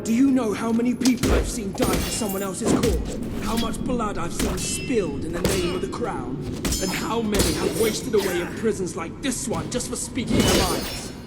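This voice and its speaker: A man's voice: sits high, normal speed, lightly acted. An actor playing a megalomaniac, vengeful usurper king in a video game, with a theatrical, accusing delivery. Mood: indignant. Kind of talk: dramatic video game villain monologue. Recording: loud music under the voice. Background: loud game score.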